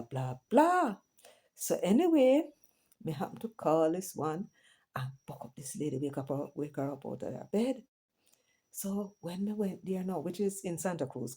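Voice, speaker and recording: Low, mellow Jamaican woman chatting. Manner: chatty, mixes patois and English, lively asides to viewers. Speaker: vlogger host. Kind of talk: casual commentary monologue.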